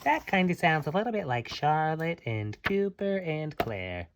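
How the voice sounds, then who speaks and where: A man's voice: on the high side, sing-song, a little slow, heavy background noise. A man reading aloud to children as their dad, with playful, folksy, chatty asides.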